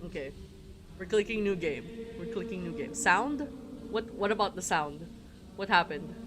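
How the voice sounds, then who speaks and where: A livestreamer chatting casually while playing a game, rambling and excitable, prone to giggling. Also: medium pitch, normal speed, background noise. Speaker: livestreamer playing a visual novel. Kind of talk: casual live stream commentary with read-aloud game dialogue. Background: game music.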